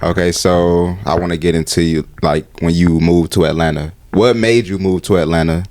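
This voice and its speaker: Young american guy hosting a laid-back interview, really low voice. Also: steady tone, moderate pace, darkish tone. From Cleveland, USA. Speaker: interview host. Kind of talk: casual interview.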